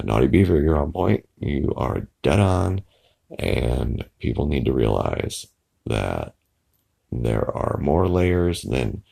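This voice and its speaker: Deep, gravelly hoarse-voiced man. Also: darkish tone. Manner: meandering, trails off with many fillers. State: hoarse and raspy. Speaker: video blogger. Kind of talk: rambling casual monologue to camera. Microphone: phone microphone.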